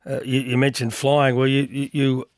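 An Australian man with a husky voice, hosting a racing radio show, talking with lots of hesitant fillers. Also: mid-range voice, flat delivery, moderate pace, dry recording, studio quality. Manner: hesitant, many filler words. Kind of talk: radio interview and news roundup. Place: radio studio.

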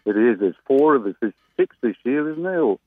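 An Australian man, a greyhound trainer, chatting casually and excitedly as a guest on a phone interview. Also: medium pitch, fairly quick, no room sound. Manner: chatty, rambling, excitable about racing. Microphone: telephone. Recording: tinny phone line.

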